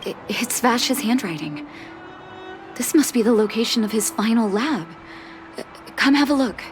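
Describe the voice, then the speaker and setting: A woman voicing a gentle, composed female doctor with quiet sorrow in video game story dialogue, soft and reflective. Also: fairly high pitch, animated, normal speed, lightly acted. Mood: searching, worried. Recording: background game music.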